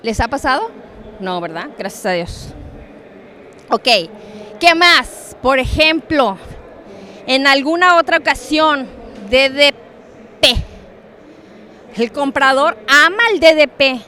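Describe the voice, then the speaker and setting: Animated high-voiced Mexican woman lecturing. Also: takes it slowly, long pauses. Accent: Mexican Spanish. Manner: animated, joking, asks audience questions. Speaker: conference speaker on international trade. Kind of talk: lively conference talk with props and asides. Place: conference hall.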